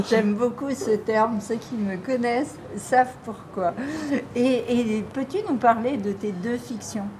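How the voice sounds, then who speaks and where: A woman hosting an interview in French, prompting her guest gently and laughing often. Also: fairly high pitch, slow, crowd noise. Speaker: interviewer, calligraphy teacher. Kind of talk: friendly interview.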